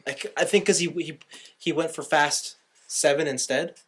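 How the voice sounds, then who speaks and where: Slightly high-voiced man hosting casual chat. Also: steady tone, normal speed, a bit bright, breathy edge. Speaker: podcast host. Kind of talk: casual movie review chat.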